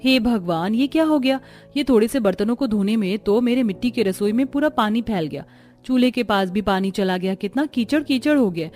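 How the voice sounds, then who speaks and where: Woman acting earnest young village daughter, higher voice. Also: animated, fairly quick, a little mellow, soft background music, close to an everyday voice. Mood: dismayed. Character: poor, dutiful, apologetic young village daughter. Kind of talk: Hindi cartoon story voice acting.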